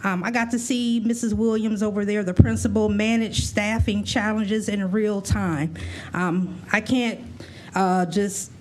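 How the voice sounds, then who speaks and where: A woman's voice: mid-range voice, fairly even intonation, a little slow, a little mellow, low-quality recording. A woman board member giving earnest remarks at a meeting, with frequent fillers. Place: school board meeting room.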